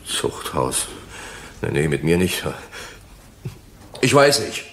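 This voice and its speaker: An actor playing a bitter, rattled former soldier on the witness stand in a radio drama, halting, colloquial and defiant. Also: male voice, a bass voice, sing-song, a bit bright, heavily acted. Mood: indignant, defiant. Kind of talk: German radio crime drama acting.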